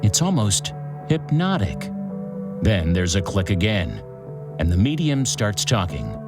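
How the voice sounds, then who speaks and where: Low-voiced, dramatic crime show host. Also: male voice, huge pitch swings. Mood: suspenseful. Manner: dramatic, deliberate short sentences with suspenseful pauses. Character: theatrical true-crime show host, wry storyteller. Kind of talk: scripted true-crime narration. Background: music.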